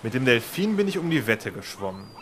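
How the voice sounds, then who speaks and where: Man playing a lively, naive young hero. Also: mid-range voice, fairly quick, background noise, natural voice. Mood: eager, hesitant. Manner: hesitant, earnest, agreeable. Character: naive, eager young fairy-tale hero. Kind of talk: fairy-tale audio drama acting.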